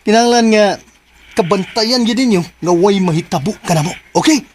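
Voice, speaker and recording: Radio drama role: fussy, scheming Filipino son played by a man, very high theatrical voice, noisy recording. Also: moderate pace, lightly acted. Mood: bossy. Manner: theatrical, repeats orders insistently.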